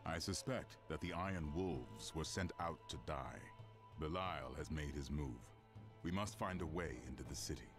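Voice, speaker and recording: Extremely deep, grave warrior advisor. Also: male voice, lively intonation, music in the background. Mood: grave, wary. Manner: solemn, deliberate. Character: grave, wary warrior advisor with commanding gravity. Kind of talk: fantasy video game voice acting.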